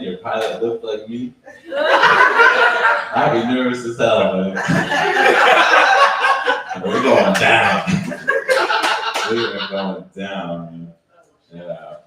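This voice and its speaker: Slow, laid-back deadpan man, echoey room. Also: fairly low pitch. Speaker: stand-up comedian. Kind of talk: stand-up set. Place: open mic at a bar venue. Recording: echoey room from far off, loud noise.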